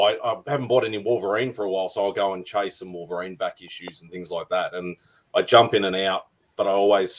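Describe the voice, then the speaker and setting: A man in a casual online group chat about comics, talking in a laid-back way and laughing things off. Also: deep voice. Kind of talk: casual video call chat. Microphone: video call.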